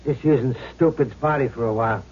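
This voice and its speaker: A man's voice: mid-range voice, brisk, lightly acted. A man playing the cocky, vengeful ghost of a gangster gambler, tough and slangy, in an old-time radio drama. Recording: dull 1970s radio recording, hiss.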